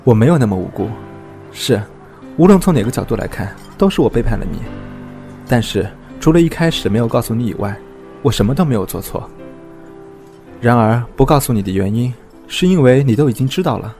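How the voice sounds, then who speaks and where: A man's voice: medium pitch, expressive, brisk, dark tone, faint music behind, lightly acted. An actor playing a frank, protective young man, a loyal friend, in an audio drama. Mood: earnest, defensive.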